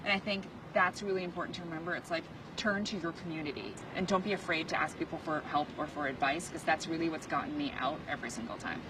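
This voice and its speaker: A woman's voice: sits low, fast, hardly any pauses, noisy background. A woman in her thirties, whose first language was Chinese, chatting upbeat in an interview on location. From United States. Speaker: interview guest. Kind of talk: casual interview chat. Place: car, office and rooftop, on location. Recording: music bed under the talk.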